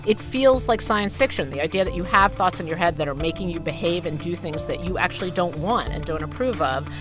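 A woman's voice: lowish voice, animated, a little fast, music in the background. A woman explaining ideas conversationally as an interviewed podcast host.

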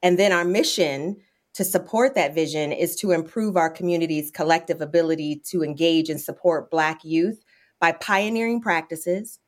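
A woman's voice: low voice, normal speed. A woman with an American accent, giving answers as an interview guest. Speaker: interview guest, nonprofit executive director.

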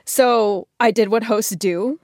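A woman's voice: a little high, slow, a little mellow, no room sound, clean studio sound. A woman podcast host speaking in a casual, heartfelt way. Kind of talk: casual heartfelt farewell talk.

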